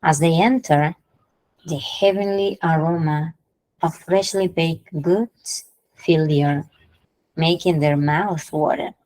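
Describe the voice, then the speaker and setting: A woman's voice: sits low, steady tone, slow pace, darkish tone, dry recording, low-quality recording. A woman teaching English idioms in an online class, explaining patiently, repeating phrases and checking in with her students. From Peru.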